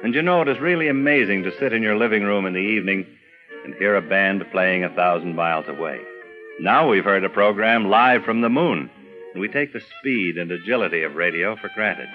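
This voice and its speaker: A man's voice: lowish voice, lively intonation, a little fast. An older American man, a veteran announcer, reading a scripted documentary narration about his profession. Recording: dull vintage recording, music behind. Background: music.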